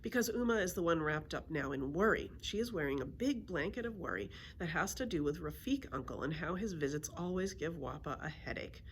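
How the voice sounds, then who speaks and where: A woman reading a children's story aloud in an expressive storytelling style. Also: sits low, brisk, few pauses, a little hiss or hum. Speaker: library story reader.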